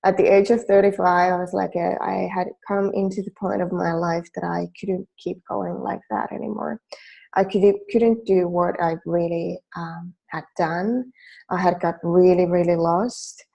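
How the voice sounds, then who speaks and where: A woman in her forties speaks English with a Finnish accent, leading a self-help training. Her voice is low, mellow and gravelly. She is earnest and keeps restarting her sentences with small fillers. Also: flat delivery, measured pace, muffled recording. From Finland. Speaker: life coach.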